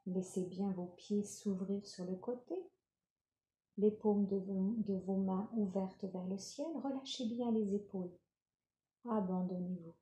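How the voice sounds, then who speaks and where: A woman leading a guided yoga nidra relaxation, giving calm, gentle, unhurried instructions. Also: mid-range voice. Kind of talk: guided meditation, soothing instruction. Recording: roomy, reverberant sound.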